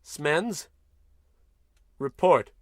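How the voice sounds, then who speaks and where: A man's voice: fairly high pitch, lively intonation, very slow, over a noisy crowd. A man playing a bumbling, pompous American space captain in a melodramatic old-time radio comedy style. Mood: solemn, melodramatic.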